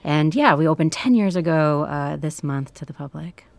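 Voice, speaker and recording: A woman in her thirties, a planetarium director, talking in a calm, soothing way in an interview inside a planetarium dome. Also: low voice, normal speed, gravelly, no echo.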